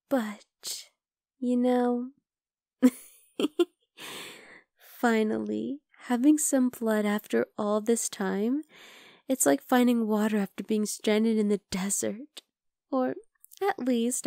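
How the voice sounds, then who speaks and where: A woman playing a possessive, bloodthirsty vampire girl in an ASMR roleplay, talking intimately to the listener and slipping into breathy whispers. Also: mid-range voice, mellow, dry recording, studio quality. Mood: intimate, pleased.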